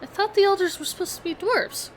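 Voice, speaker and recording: A woman's voice: very high-pitched, fast, a little hiss or hum. A woman voicing a small, earnest young gnome adventurer with a questioning manner, in a fantasy audio story. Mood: earnest, puzzled.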